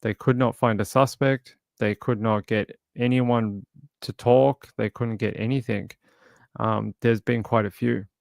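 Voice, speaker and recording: A man hosting a true crime livestream, talking casually and reading chat, his voice crackly and gravelly, halting with fillers and restarts. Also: mid-range voice, fairly even intonation, dry recording, clean studio sound.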